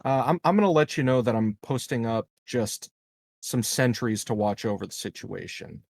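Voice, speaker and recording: Man, mid-pitched voice, tabletop player, casual, halting, deliberate, very dry sound. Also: gravelly, a little mellow, dry recording. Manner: halting, deliberate planning aloud. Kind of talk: casual game-table talk.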